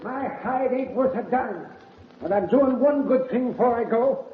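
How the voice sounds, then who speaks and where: Reluctant henchman, high rustic cowboy voice, Western radio acting, muffled echoey vintage recording. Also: moderate pace, slight background noise. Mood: urgent, remorseful. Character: reluctant outlaw henchman with a conscience. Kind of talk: old-time Western radio drama acting.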